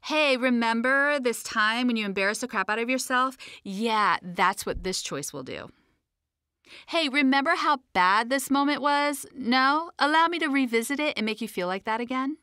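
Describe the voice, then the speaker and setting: A woman's voice: a little high, animated, moderate pace, sharp tone, smooth, no room sound, studio quality, voice pushed up. A woman voicing a mocking, falsely helpful female inner critic in a self-help audiobook.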